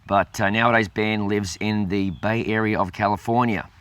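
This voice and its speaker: An Australian man hosting a question-and-answer show, his voice a little raspy from a head cold, talking in a relaxed way with many ums and uhs. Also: on the low side, normal speed. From Adelaide, South Australia. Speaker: host. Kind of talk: casual Q&A video.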